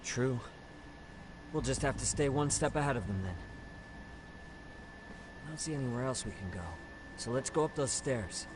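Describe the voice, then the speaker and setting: A man's voice: mid-range voice, lively intonation, normal speed, long pauses, lightly acted. A man playing a detective's loyal, agile younger sidekick in a video game, alert, cooperative and plain-spoken. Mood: alert, willing. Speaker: video game companion character. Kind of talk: English game dub acting. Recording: noisy game ambience behind voice.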